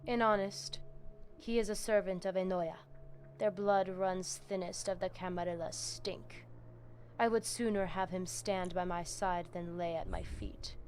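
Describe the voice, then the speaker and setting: An actress voicing a zealous vampire priestess in a video game, with a Spanish accent and a solemn, commanding manner that mixes in Spanish. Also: female voice, on the low side, fairly even intonation, measured pace, darkish tone, a little hiss or hum, close to an everyday voice. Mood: grave, mystical. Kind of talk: video game dramatic dialogue.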